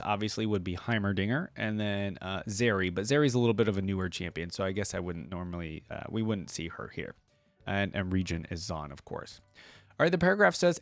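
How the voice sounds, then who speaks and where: Guy doing youtube reaction commentary, reads stuff out then chats casually, mid pitch, really dry sound. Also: no echo. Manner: conversational asides between read-out passages. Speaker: YouTube host reacting to game lore. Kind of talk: casual reaction commentary mixed with reading aloud.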